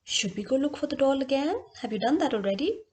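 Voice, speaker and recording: A woman voicing a kind, patient gentleman in a storytelling, speaking in a gentle, reassuring manner. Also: sits high, lively intonation, fast, voice pushed up. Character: kind, patient gentleman stranger helping a child.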